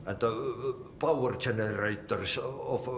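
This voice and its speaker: Guy doing old finnish janitor for a game, slow n deadpan, echoey noisy room. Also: mid-range voice, lightly acted. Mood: grumbling. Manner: slow, deadpan, folksy mangled idioms. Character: eccentric older Finnish janitor, unhurried, wry, cryptic. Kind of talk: video game cutscene dialogue. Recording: roomy echo, loud background ambience.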